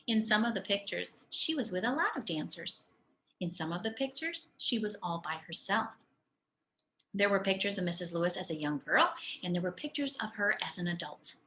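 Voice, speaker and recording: A woman, a preschool teacher, reading a story aloud to young children with deliberate, expressive phrasing. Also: mid-range voice, a little hiss or hum.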